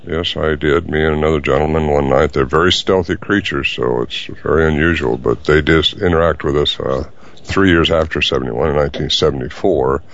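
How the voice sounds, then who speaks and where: An older man answering interview questions in a halting way, with many fillers. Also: extremely low pitch, steady tone. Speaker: guest. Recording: dull lo-fi audio.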